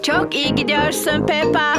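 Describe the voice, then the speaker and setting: A woman voicing a gentle, encouraging mummy pig in a children's cartoon dub, soothing and motherly. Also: sits high, wildly swooping pitch, measured pace, loud music behind the voice, full character voice.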